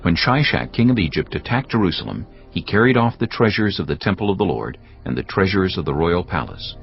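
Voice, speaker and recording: A man with a very deep voice narrates scripture as a solemn dramatised story. His intonation moves widely. Soft music plays beneath a slightly dull recording. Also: big pitch swings, fairly quick, creaky. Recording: dull band-limited audio with faint music.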